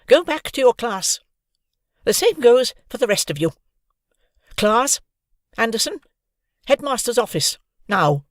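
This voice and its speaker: A narrator voicing a stern middle-aged deputy headmistress who scolds in a sharp, commanding tone. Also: mid-range voice, sing-song, unhurried, pauses often, no room sound, voice pushed up. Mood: stern scolding.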